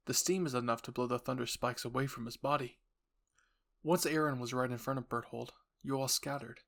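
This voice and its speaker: A man's voice: mid-range voice, fairly even intonation, fairly quick, fairly bright tone. A man reading scripted second-person story narration for a roleplay. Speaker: narrator and voice actor.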